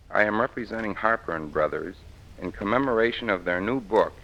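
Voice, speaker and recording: A man reading a formal, measured award presentation in scripted 1940s broadcast style. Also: deep voice, pushed and bright. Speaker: radio critic presenting an award. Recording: muffled, faintly noisy 1940s radio broadcast.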